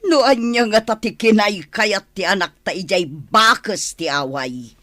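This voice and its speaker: A woman plays a prickly middle-aged village mother in a radio drama, choosy and questioning. The voice is medium in pitch and recorded very dry. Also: unhurried, a bit bright, dry recording, close to an everyday voice. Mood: disapproving.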